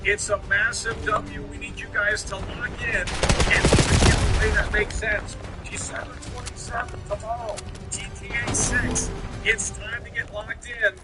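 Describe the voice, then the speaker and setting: A man's voice: sits high, level tone, a little slow. A man livestreaming over a shooter game, giving repetitive, combative hype talk and rants. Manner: combative, swearing. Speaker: live streamer. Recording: loud shooter game audio, gunfire and music. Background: game music and gunfire.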